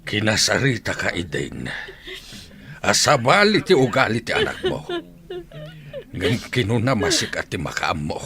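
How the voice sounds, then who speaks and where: A man's voice: lowish voice, big pitch swings, a little slow, pauses often, pushed and bright, exaggerated voice. A man voicing a stern, reproachful middle-aged father who scolds his wife over their spoiled son. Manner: reproachful, lecturing. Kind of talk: Ilocano radio-drama acting.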